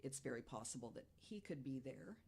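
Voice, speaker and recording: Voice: mid-range voice, lively intonation, fairly quick, gravelly, a little mellow, husky. A podcast panelist talking casually in a knowing, matter-of-fact manner. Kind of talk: casual discussion.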